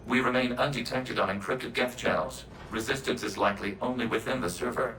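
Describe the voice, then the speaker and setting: Man acting a calm, logical robot ally. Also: medium pitch, a little hiss or hum, natural voice, poor audio. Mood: measured, factual. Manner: formal, measured, literal phrasing. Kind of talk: video game voice acting.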